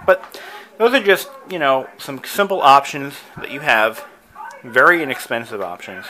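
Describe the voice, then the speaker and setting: Bright-voiced casual male hobbyist presenter. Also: medium pitch, expressive, moderate pace, low-quality recording. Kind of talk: casual tutorial vlog.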